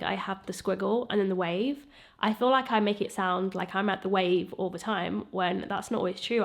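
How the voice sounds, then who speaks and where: A woman in her thirties, sounding nasal from a cold, talking candidly and a bit ramblingly to camera. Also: mid-range voice. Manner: candid, rambling, self-reflective. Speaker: YouTuber. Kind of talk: casual vlog talk to camera.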